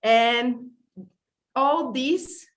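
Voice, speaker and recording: Slow-talking Brazilian-born woman host. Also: high voice. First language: not English. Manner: warm, effusive, stumbles and apologizes often. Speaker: podcast host. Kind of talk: casual online livestream hosting.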